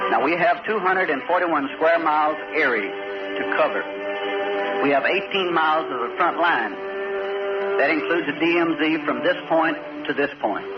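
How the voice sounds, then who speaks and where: Loud music competes with a distant, dull recording of a man. He explains things as a military officer would in a briefing, with animated, varied intonation. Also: on the high side. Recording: distant muffled archival audio, music behind.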